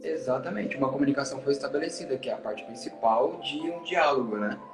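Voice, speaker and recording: Brazilian male English teacher, upbeat encouraging explainer, talks fast, echoey room sound. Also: mid-range voice, music in the background. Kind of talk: casual conversation giving advice. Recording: roomy echo.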